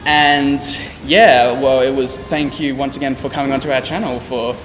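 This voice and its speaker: A young man hosts a casual interview, talking loosely and circling back with many fillers. His mid-pitched voice rings out in a reverberant room. Music plays underneath. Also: steady tone, normal speed. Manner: rambling, many fillers and restarts. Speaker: interviewer, channel host.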